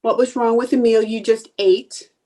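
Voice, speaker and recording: High-pitched, proud and defensive housewife for a radio comedy, indignant and easily flustered played by a woman. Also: fairly even intonation, normal speed, mellow, lightly acted. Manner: indignant, flustered theatrical delivery. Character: proud, defensive housewife bluffing about her cooking. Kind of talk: old-time radio sitcom acting.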